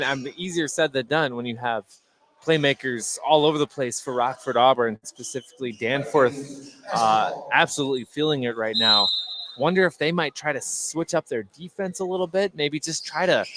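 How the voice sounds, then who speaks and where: Halting male basketball color commentator. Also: mid-range voice, background noise. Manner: hesitant, halting, repeats words. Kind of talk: conversational live sports analysis. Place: indoor gymnasium during a game.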